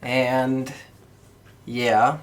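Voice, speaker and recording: A man with an average-pitched voice talks as a podcast co-host. His style is casual and conversational, like an informal discussion among fellow fans. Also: little pitch movement, drawn-out words.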